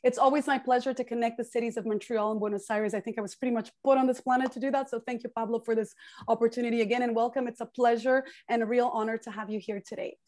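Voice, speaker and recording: A woman hosts an online book event, speaking in a gracious, welcoming manner. Her voice sits a little high, picked up by earbuds on a video call. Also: fairly even intonation, fast, darkish tone. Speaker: host, program organizer. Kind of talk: formal event introduction. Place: home room with bookshelves, indoors. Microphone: earbud headset on video call.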